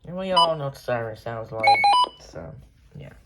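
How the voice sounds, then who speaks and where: A man's voice: mid-range voice, expressive, normal speed. A man giving a casual, unscripted show-and-tell of his collection, rambling offhand and trailing off. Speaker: collector showing off a weather radio collection. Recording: noisy amateur recording with radios.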